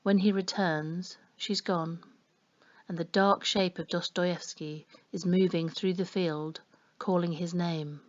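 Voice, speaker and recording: A woman reading a children's story aloud in an expressive storytelling style. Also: on the low side, takes it slowly, lo-fi sound. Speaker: story reader. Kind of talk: expressive children's audiobook narration.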